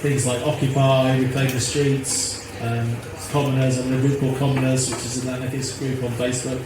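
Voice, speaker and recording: A man's voice: mid-range voice, little pitch movement, fairly quick. A man in his fifties, an activist being interviewed, talking earnestly in a rambling way that repeats itself. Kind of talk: casual interview. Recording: echoey, noisy phone recording.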